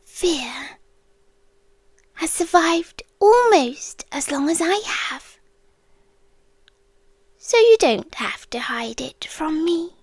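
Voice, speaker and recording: A British woman playing an ancient, calm, doting apocalyptic girlfriend in a soft, partly whispered ASMR roleplay, speaking slowly and deliberately. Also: very high voice, no echo, heavily acted. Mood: calm, ominous. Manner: slow, hushed, deliberate delivery.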